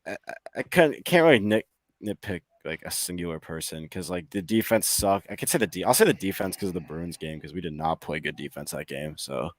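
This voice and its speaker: A man with a deep, low voice talks hockey casually as a podcast co-host. He rambles and hesitates as he thinks aloud, recorded close and dry. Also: animated, a little fast, no room sound. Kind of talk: casual hockey fan chat.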